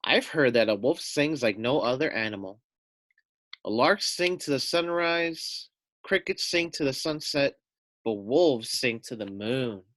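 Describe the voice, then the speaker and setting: A man voicing a small young female lamb from a storybook, sly and calm, with a coaxing, flattering manner. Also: medium pitch, measured pace, no echo.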